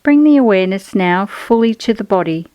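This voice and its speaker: A woman's voice: mid-range voice, lively intonation, dark tone, smooth, dry recording. Soothing woman guiding relaxation, close and dry. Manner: soothing, measured, instructional, with pauses. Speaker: yoga nidra guide. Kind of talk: guided relaxation meditation.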